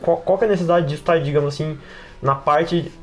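A man's voice: on the high side, steady tone, fast. A man with a Brazilian accent chatting casually and informally as a podcast host, full of slang and fillers. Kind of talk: casual podcast chat about tabletop RPGs.

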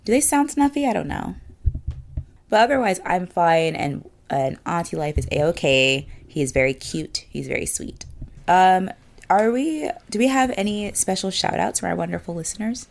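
A woman with a low, gravelly voice co-hosts a casual podcast. She sounds tired and congested, a little stuffy and nasal. She chats in a playful, joking way. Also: lively intonation, moderate pace. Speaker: podcast co-host. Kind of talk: casual chat.

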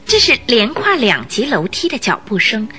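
A woman's voice: fairly high pitch, huge pitch swings, moderate pace, over music. A woman narrating a radio drama in a dramatic, scripted storytelling style.

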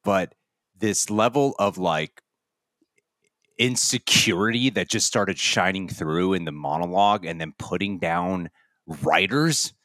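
A man's voice: deep voice, measured pace, a bit bright, no room sound, studio quality. A man co-hosting a podcast, talking in a loose, rambling way with frequent laughs and restarts. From United States. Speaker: podcast co-host. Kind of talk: casual chat.

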